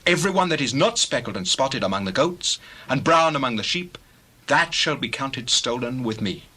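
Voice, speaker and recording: An actor playing an earnest, righteous shepherd in a dramatized Bible reading, with declamatory delivery. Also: male voice, mid-range voice, animated, moderate pace, sharp tone, slightly rough. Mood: earnest, insistent. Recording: noisy scene with sheep and bird effects.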